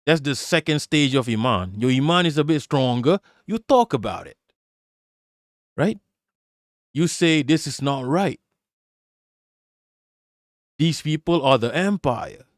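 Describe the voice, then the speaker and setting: A man's voice: a little high, expressive, takes it slowly, long pauses, no echo, clean studio sound. A man using a put-on American accent as a livestream political commentator, reading aloud and then ranting. He is emphatic and profane, and he often stutters and repeats words.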